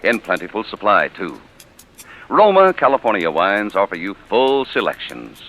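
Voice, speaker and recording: A man's voice: fairly low pitch, sing-song, moderate pace, fairly bright tone. A man reading a polished, emphatic 1940s radio wine commercial as announcer. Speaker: sponsor announcer.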